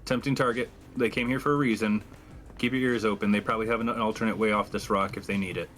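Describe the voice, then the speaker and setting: A man's voice: on the low side, steady tone, fast talker, a bit bright. A man playing a tabletop roleplaying game, talking in character to his fellow players. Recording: music playing underneath.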